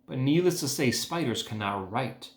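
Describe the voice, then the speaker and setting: A man reading a children's book aloud as host, with expressive, emphatic phrasing. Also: medium pitch, moderate pace. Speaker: read-aloud host, educator. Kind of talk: storybook read-aloud narration. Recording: echoey room.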